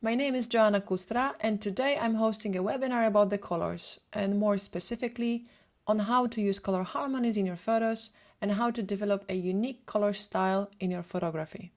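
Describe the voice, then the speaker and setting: Webinar presenter: woman, native Polish speaker, Polish accent, mid-pitched voice, prepared instructional delivery. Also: a little fast, darkish tone. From Poland. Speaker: photographer and retoucher hosting a webinar.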